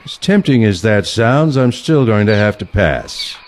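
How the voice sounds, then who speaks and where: A man playing a principled, weary older assassin in an audio play, speaking in a dry, terse, deadpan manner. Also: fairly low pitch, a little slow, background noise. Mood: reluctant, unimpressed.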